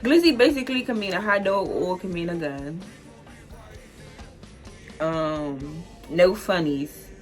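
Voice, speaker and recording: Chatty young D.C. woman, low-pitched voice. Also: slow pace, long pauses, soft background music. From Washington, D.C. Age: 20s. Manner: loose and chatty, heavy "like" fillers, easy laughter. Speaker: vlogger. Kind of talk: casual solo vlog explainer.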